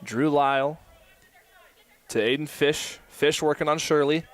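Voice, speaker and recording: A man in his early twenties doing live play-by-play commentary for a college soccer match from an outdoor stadium. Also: on the high side. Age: 20s. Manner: rapid play-by-play that climbs to excited shouting.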